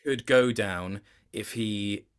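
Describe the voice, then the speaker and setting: A man's voice: fairly low pitch, takes it slowly, clear, resonant tone. A man speaking as a guest voice actor in an interview, explaining his work reflectively in stop-start phrases. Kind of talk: interview chat about performance work.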